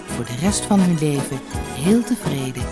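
A woman's voice: low-pitched, animated, normal speed, music in the background. A woman reading a scripted fairy tale as an audiobook narrator.

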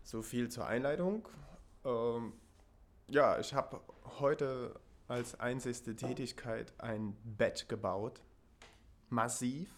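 A man hosting a live radio show, speaking haltingly and playfully repeating himself. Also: mid-range voice, slight background noise.

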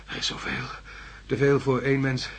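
An actor playing an exhausted, delirious astronaut in a radio drama, muttering haltingly in broken, repeated phrases. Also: male voice, medium pitch, completely flat delivery, slightly rough, natural voice. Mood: anguished delirium. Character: exhausted delirious male astronaut reliving a perilous landing.

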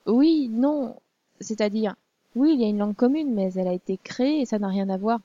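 A woman's voice: on the high side, a little fast, dark tone, no echo, muffled recording, lightly acted. A woman voicing a young princess who speaks in a formal, proper way. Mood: earnest. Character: young princess, weary yet curious and proper.

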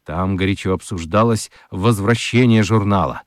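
A man narrating a children's radio drama as an expressive storyteller, reading scripted literary prose. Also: on the low side, normal speed, no room sound, studio quality.

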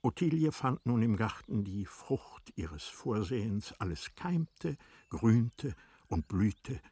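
A man reading literary narration for a radio drama in a measured, deliberate manner with dramatic pauses. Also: on the low side, sing-song, dark tone, husky, no echo.

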